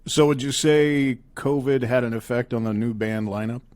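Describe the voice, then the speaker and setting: A man's voice: mid-range voice, normal speed, a little mellow. A man hosting a music show and interviewing a band in a conversational presenter style. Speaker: radio show host.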